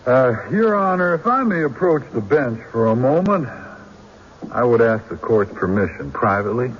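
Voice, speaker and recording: An actor playing a shrewd country defense lawyer in a radio drama, with a husky voice and deliberate, theatrical courtroom rhetoric. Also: male voice, medium pitch, sing-song. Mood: courteous, probing. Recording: dull lo-fi old radio recording.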